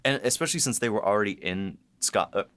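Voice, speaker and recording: A man with a voice a little on the low side chats as a podcast host and writer. His delivery is informal and jokey. He tends to quote his own thoughts as he explains things. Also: fast, fairly bright tone. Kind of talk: casual behind-the-scenes chat.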